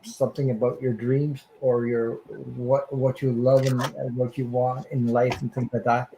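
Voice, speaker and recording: Hoarse man giving a halting tarot reading. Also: mid-range voice, little pitch movement, warm tone, a little hiss or hum, rough recording. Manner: halting, searching for words. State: hoarse, losing his voice. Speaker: tarot reader guest. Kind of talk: casual tarot reading chat.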